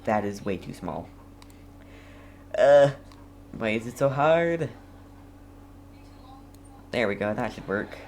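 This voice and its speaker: A woman's voice: very deep voice, animated, takes it slowly, pauses often, darkish tone. A woman streaming a game with casual commentary, muttering to herself and reacting briefly, with background noise.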